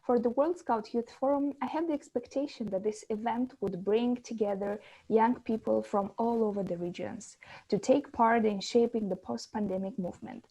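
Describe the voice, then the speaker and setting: Husky, mellow-voiced woman, formal. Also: on the high side, low-quality recording. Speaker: youth advisor. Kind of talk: recorded video message.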